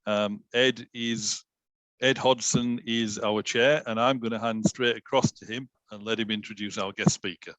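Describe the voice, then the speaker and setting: A man hosting a webinar and handing over to the chair, brisk with fillers. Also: fairly low pitch, steady tone, fairly bright tone, no echo, rough recording. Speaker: webinar host. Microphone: video call.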